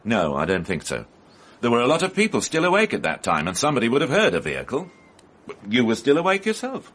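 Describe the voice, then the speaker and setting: An actor playing a calm, methodical police inspector in a radio drama, questioning formally and pointedly. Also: male voice, mid-range voice, fast talker. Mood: measured, probing.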